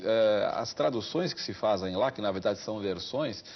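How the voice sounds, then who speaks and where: A man's voice: fairly low pitch, normal speed, gravelly, dull audio, slight background noise. A man hosting a television interview, asking thoughtful, bookish questions in long rambling asides. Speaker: TV interview host. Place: television studio.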